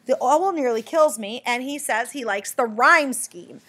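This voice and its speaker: A woman's voice: fairly high pitch, lively intonation, normal speed. A woman voicing a shy, earnest little male bat poet in a storybook, with a timid, hesitant manner. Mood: bitter.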